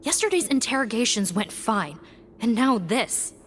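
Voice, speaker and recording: An actress voicing a blunt, confident female guard who speaks in a lively way, in a video game. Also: a little high, moderate pace, background noise. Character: brisk sharp-tongued female guard.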